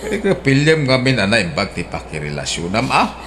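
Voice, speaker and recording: An actor playing an older father who gently advises his grown child. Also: medium pitch, wildly swooping pitch, normal speed, slight background noise. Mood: gentle. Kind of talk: radio drama acting.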